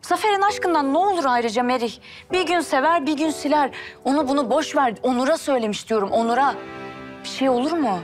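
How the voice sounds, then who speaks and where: Actress doing young woman confiding in a friend, tv drama, talks fast n high. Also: lightly acted. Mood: dismissive, impatient. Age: 20s. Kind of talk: TV drama acting, casual dialogue. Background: music.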